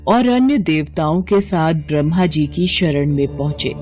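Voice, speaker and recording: A woman's voice: low-pitched, animated, normal speed, a little mellow, dull audio, soft background music. A woman narrating a scripted mythological tale as a storyteller.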